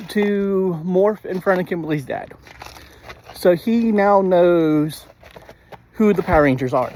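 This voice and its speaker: A man giving a casual spoken episode review in a halting way, often clearing his throat. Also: sits high, a little mellow.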